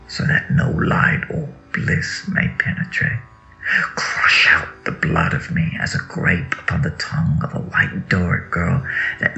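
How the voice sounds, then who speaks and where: A man with a deep, gravelly voice gives a solemn, theatrical recitation of scripture, drawing out phrases and swelling with emphasis. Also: sharp tone. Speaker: reader. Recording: dull lo-fi audio with music underneath. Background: music.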